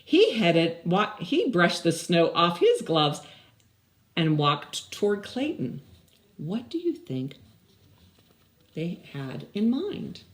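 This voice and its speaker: Older woman, children's story time host, unhurried, slightly low voice, lively pitch swings. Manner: expressive, asks young listeners questions. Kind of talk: picture-book read-aloud and chatting to children. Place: small indoor room with blinds.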